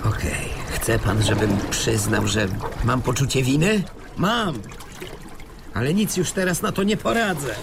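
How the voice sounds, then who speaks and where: A man's voice: mid-range voice, a little slow, close to an everyday voice. An actor as a prim, fussy man adrift at sea. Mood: defensive. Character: prim, self-righteous male plane passenger adrift at sea. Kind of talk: radio drama acting. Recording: clear water and sea noise behind.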